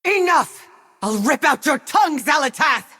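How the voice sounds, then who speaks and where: An actor playing a determined young elf noble in a video game, earnest, formal and impassioned. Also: male voice, very high voice, animated, normal speed, bright, forceful tone, no echo, studio quality, exaggerated voice. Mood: furious shouting. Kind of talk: dramatic video game voice acting.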